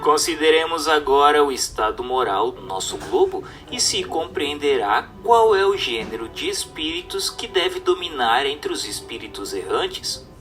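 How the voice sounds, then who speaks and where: A man's voice: on the high side, big pitch swings, moderate pace, fairly bright tone, recorded from a distance, soft background music. A man narrating a scripted radio drama adaptation of a book, reading in an emphatic, declamatory way.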